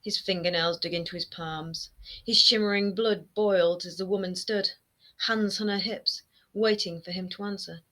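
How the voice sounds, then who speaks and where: A woman in her thirties, an author reading her own fantasy novel aloud at home in an expressive storytelling manner. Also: medium pitch, normal speed. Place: home room lined with bookshelves. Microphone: webcam framing, seated close to camera.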